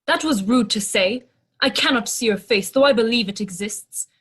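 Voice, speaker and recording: A woman's voice: on the high side, expressive, normal speed, fairly bright tone, slightly rough. A woman playing an earnest, literal-minded non-human crew member in a sci-fi audio drama, speaking formally and precisely. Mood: puzzled, literal.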